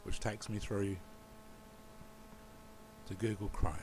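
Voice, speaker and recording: A man with a New Zealand accent giving a relaxed, rambling screen-recorded computer tutorial. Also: on the low side, slow, noisy background. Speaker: tutorial presenter.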